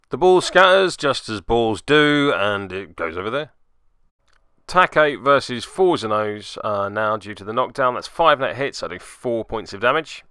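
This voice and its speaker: Bright, crisp-voiced man narrating a tabletop game. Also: mid-range voice, animated, a little slow, pauses often, dry recording, studio quality. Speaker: podcast host and player.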